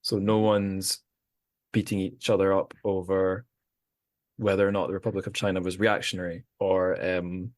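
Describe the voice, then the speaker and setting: A man with a very low voice hosts a podcast episode as a casual group chat. He wanders through thoughtful asides. The sound is dry and close, with little room around it. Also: level tone, moderate pace, dry recording. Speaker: podcast host.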